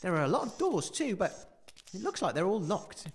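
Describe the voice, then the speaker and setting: A man's voice: sits high, very animated, moderate pace, breathy edge, full character voice. A man playing a naive, eager radio presenter in a scripted audio comedy, earnest and a little hesitant. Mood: cheerful, curious. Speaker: radio host. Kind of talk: scripted audio comedy, live-broadcast narration.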